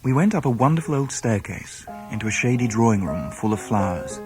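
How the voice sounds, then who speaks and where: A man playing a grown Englishman gently recalling his boyhood, in measured literary radio drama narration. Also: on the low side, very animated. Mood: reflective. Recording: music under the voice. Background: instrumental music.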